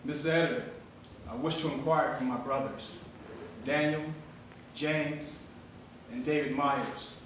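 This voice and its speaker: A man plays a solemn freedman searching for his brothers in a stage reading. His mid-pitched voice sounds distant in a very echoey hall. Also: unhurried, fairly bright tone, natural voice. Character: formerly enslaved man inquiring for his lost brothers. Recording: very echoey hall, distant mic, faint rumble.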